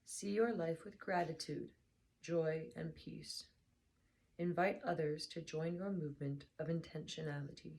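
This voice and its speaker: A young woman speaking in a very low, gravelly voice that has gone hoarse and worn. She sounds tired and talks in a soft, unhurried way as she chats and reads aloud. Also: fairly even intonation, a little hiss or hum. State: hoarse, slightly lost voice. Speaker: book reader vlogger. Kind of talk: casual chat then reading aloud.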